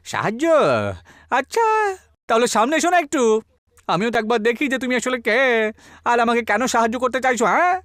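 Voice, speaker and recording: A man's voice: very high voice, lively intonation, fast talker, pauses often, a bit bright, no echo, clean studio sound, full character voice. A man voicing a poor, timid village man in a cartoon, with a plaintive, questioning manner. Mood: wary. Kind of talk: cartoon dialogue. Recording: music behind the voice. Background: music.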